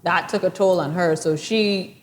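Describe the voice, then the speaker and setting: A woman's voice: on the low side, moderate pace. A young woman with a Bahamian accent, co-hosting a casual podcast chat, talking loosely with lots of "like" and laughing often. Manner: chatty, laughs often, trails off with "like". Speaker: podcast co-host. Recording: roomy reverb, faint background hiss.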